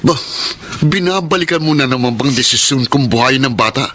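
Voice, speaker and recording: A man's voice: medium pitch, brisk, sharp tone. A man playing a struggling, principled young Filipino father in a radio drama. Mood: angry, reproachful. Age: young adult. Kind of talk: Tagalog radio drama acting.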